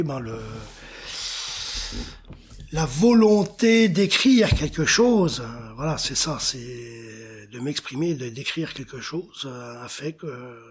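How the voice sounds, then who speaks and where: A man's voice: mid-range voice, husky. A middle-aged man answering interview questions as an artist, searching for words and often repeating phrases. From born in Senegal, childhood in Africa. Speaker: artist, engraver and painter being interviewed.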